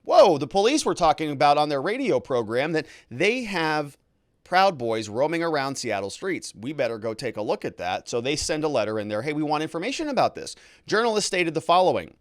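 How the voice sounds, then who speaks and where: A man hosting legal commentary, reading from a report in an animated, emphatic way with informal asides. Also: a little high, fairly quick, dry recording, studio quality. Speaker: legal commentator and show host. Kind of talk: news commentary, reading a report aloud and paraphrasing it.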